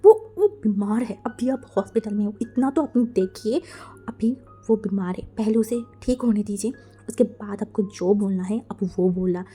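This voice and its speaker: A caring elder sister, voiced by a woman telling the story, pleading softly to calm her sibling. Her tone is gentle and mellow, with faint music behind. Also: mid-range voice, moderate pace, husky, pitched below the performer's own voice, close to an everyday voice. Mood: soothing. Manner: soothing, pleading.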